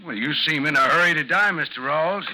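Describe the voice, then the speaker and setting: An actor in a 1950s radio drama playing a vengeful gentleman duellist, coolly mocking and formal in speech. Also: fairly high pitch, fairly quick. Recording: noisy 1950s radio recording, slight hiss.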